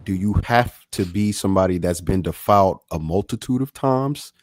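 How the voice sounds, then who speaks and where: A man's voice: deep voice, expressive, normal speed, a little mellow, dry recording. A man in his late twenties in a casual podcast debate, arguing in a slangy way and repeating himself for emphasis. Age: late 20s. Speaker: podcast panelist.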